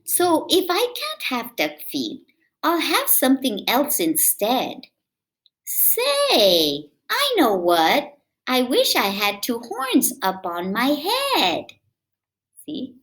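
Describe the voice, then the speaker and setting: Lady doing a read aloud for kids, slow and drawn out, super animated pitch, chatty little asides. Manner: expressive, child-directed, drawn-out emphasis. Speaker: read-aloud storyteller for young children.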